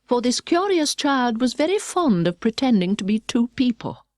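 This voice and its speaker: Audiobook narrator: British woman, precise expressive storyteller, highly varied intonation, dry studio sound. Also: moderate pace, no echo.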